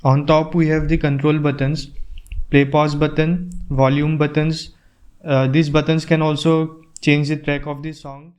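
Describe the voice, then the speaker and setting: A man's voice: on the high side, little pitch movement, unhurried, a little mellow, clean tone. A man narrating an unboxing and product review in a plain, step-by-step explaining way, recorded on an earphone microphone.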